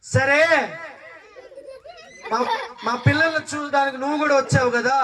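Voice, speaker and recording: A woman's voice: high voice, steady tone, normal speed. A woman presenting a children's puppet show with playful banter and teasing mock scolding. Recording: distant mic, noisy crowd of children. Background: crowd of children.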